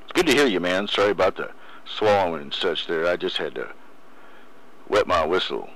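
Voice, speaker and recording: A man's voice: deep voice, lively intonation, fairly quick, fairly bright tone, rough recording. A man bantering casually in slang as a CB radio operator, heard over the radio. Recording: CB radio transmission.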